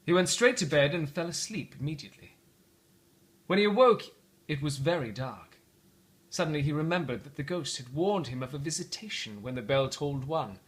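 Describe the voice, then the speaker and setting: Expressive, animated man reading a story aloud. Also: on the high side. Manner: theatrical, expressive. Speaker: storybook reader. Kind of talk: dramatic read-aloud narration.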